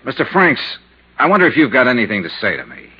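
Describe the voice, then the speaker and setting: Hard-boiled male investigator, medium-pitched and husky, blunt, muffled 1950s radio serial. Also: big pitch swings, quick pace. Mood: stern, accusing. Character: tough, persistent male insurance investigator narrating his case. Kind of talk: 1950s radio drama acting and narration.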